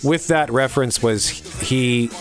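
A man's voice: medium pitch, slow, soft background music. A middle-aged man hosting a show, chatting casually about entertainment. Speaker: radio show host. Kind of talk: casual movie and TV review chat.

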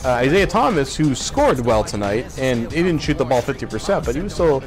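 A man's voice: mid-range voice, big pitch swings, fairly quick, music in the background. An American man hosting a casual sports show, talking through and analysing a game.

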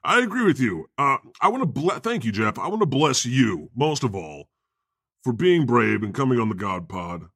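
A man's voice: mid-range voice, sing-song, normal speed, a bit bright, husky, dry recording, studio quality. A man hosting a comedy podcast with improvised banter, speaking in a mock-earnest, profane way. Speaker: podcast host.

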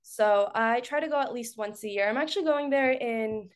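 Higher-pitched young woman from Costa Rica, chatty. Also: normal speed, clear, resonant tone. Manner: chatty, laughs often while talking. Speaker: podcast guest. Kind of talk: casual personal chat.

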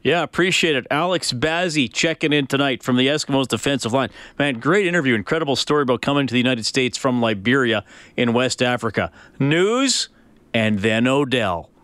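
A man's voice: mid-range voice, animated, fairly quick, fairly bright tone. A man hosting a radio sports show, interviewing a player in a chatty, friendly way.

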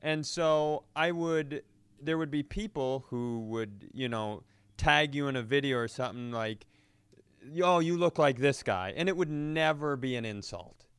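Male comedy co-host, smooth tone, dry close sound, casual, vulgar and self-deprecating. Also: medium pitch, unhurried, fairly bright tone, dry recording. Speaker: podcast co-host.

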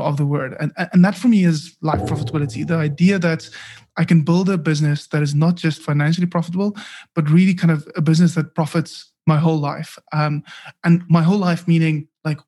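A man explains his ideas in a measured, somewhat monotone way. His voice sits a little high for a man, and he often circles back and restarts his sentences as he thinks aloud. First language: Afrikaans. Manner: thoughtful, many fillers and restarts. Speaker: guest, startup founder and author. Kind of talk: casual podcast interview.

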